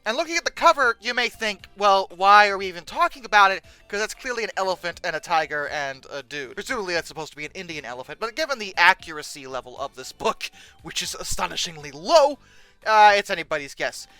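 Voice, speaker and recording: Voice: mid-range voice, lively intonation, fast, sharp tone, smooth, faint music behind. A video host giving casual, humorous commentary in a sarcastic, exasperated manner with dramatic emphasis.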